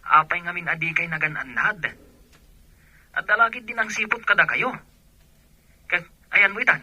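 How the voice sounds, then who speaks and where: A man playing an excitable, exasperated male buddy in an Ilocano radio drama. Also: high voice, moderate pace, no echo, heavily acted.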